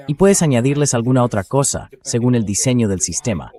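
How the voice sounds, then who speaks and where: A man's voice: mid-range voice, animated, fairly quick, dark tone. A man's synthetic dubbing voice giving blunt, sardonic political and military commentary as an interview guest.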